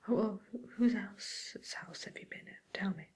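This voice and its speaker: A woman playing a gossipy, mildly menacing witch in a whispered ASMR roleplay, speaking in a breathy, hesitant, musing way. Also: medium pitch, normal speed. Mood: gossipy, disdainful. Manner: hesitant, musing, softly sly.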